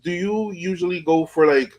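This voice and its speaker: A man's voice: high-pitched, moderate pace, darkish tone, rough recording. A man hosting a casual interview, speaking in informal, slangy phrases peppered with filler words. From New York, USA. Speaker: podcast host interviewer.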